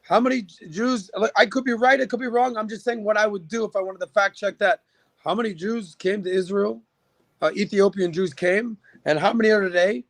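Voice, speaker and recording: A man's voice: high-pitched, a little fast, no echo. A man in a casual podcast chat, giving firm opinions backed by figures, with lots of fillers and restarts. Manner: opinionated, argues with numbers, many fillers. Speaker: podcast guest.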